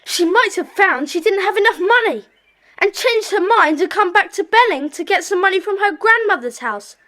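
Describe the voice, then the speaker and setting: High-pitched, bossy schoolboy sleuth. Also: female voice, animated, normal speed, bright, forceful tone, no echo, heavily acted. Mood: thoughtful. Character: schoolboy leader of child detectives, bossy, decisive. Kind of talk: children's audio drama acting.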